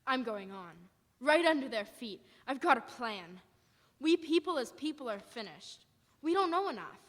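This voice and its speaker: A high, forceful woman's voice rings through a long-echoing hall. She is a wary, defiant militia survivor as a stage actress plays her, blunt and folksy, hammering her points home in old radio drama fashion. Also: sing-song, normal speed, exaggerated voice. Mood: fiercely defiant. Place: church hall stage, live performance. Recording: echoey hall, live performance.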